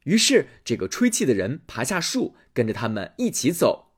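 A man reading a fairy tale aloud as a storyteller, expressive and animated. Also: mid-range voice, moderate pace, breathy edge.

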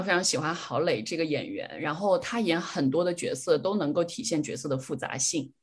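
A woman's voice: on the low side, breathy edge. A young adult woman chatting casually on a solo podcast, reflective and repeating words for emphasis as she shares her opinions. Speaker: podcast host.

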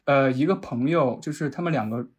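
Man, podcast host, middle pitch, husky edge, casual and reflective, anecdotal. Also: a little fast.

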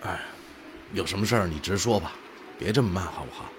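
A man's voice: low-pitched, fast, a little hiss or hum, lightly acted. An actor playing an impatient, blunt young man who works at a radio observatory, in a Mandarin audio drama. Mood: impatient, irritated.

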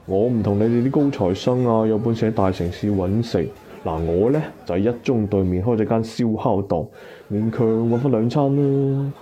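A man's voice: lowish voice, moderate pace, mellow, lightly acted. A man playing a chubby, easygoing barbecue-stall owner in a Cantonese radio drama, bantering colloquially with old classmates. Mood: plain, modest. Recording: dull lo-fi audio.

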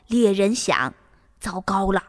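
A woman narrating a fairy tale for children in an expressive storytelling manner. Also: mid-range voice, slow, in a large hall. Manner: expressive, spoken to young listeners with sentence-end particles. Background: music.

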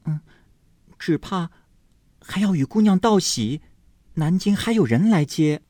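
A man voicing a young maidservant who speaks in a high, chirpy way. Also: lively intonation, a little slow, warm tone, no echo, studio quality. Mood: eager. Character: young maidservant, bright and eager.

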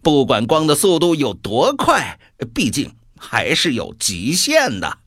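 A gleefully mocking devil in a radio drama. The delivery is slow and theatrical, with pitch that lurches wildly from low to high. Every line is a taunt, recorded close and dry. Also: huge pitch swings, a bit bright, slightly rough, no echo, full character voice. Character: mocking devil gleefully wagering on a world's fate.

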